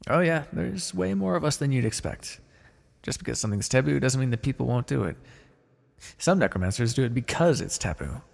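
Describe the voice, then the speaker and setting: A man's voice: mid-range voice, animated, fairly quick, vocal fry, darkish tone, long reverb tail. A man playing a pragmatic, chatty necromancer in a casual, wry ASMR fantasy roleplay. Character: pragmatic, chatty male necromancer, matter-of-fact and ambitious. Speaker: roleplay voice actor. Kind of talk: ASMR fantasy roleplay, one-sided dialogue.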